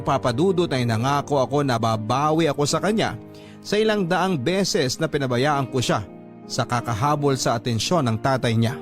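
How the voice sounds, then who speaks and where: Man, radio drama host, mid-range voice, measured sentimental letter narration, clear music bed. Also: mid-range voice. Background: clear background music.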